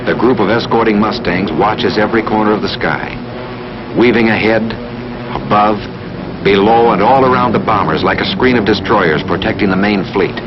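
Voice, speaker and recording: Low-voiced wartime narrator, very noisy track. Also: male voice, moderate pace, dull audio. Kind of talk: scripted 1940s war documentary narration. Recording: noisy vintage film soundtrack, aircraft engine drone.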